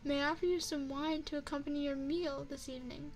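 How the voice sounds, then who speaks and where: A woman voicing a courteous female vampire chef and restaurant owner in an ASMR roleplay, speaking in a formal, measured, refined way. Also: sits high, steady tone, poor audio. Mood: gracious, attentive. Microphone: binaural microphone.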